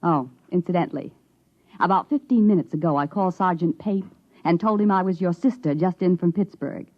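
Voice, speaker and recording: An actress playing a coolly commanding scientist in a 1950s radio drama, with clipped, formally polite menace. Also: female voice, on the low side, brisk, lightly acted. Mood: cool, smug. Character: coolly commanding woman scientist holding a man at gunpoint. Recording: muffled, noisy 1950s radio recording.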